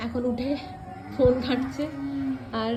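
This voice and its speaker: A woman's voice: high voice, level tone, measured pace, roomy sound, noisy background. A woman with a West Bengal Bengali accent chats casually as co-host of a home vlog.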